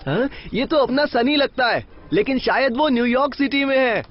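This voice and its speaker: A man voicing a friendly, showy zoo fellow in an animated film dub. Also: extremely high pitch, normal speed. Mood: excited. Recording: slightly muffled dub over music.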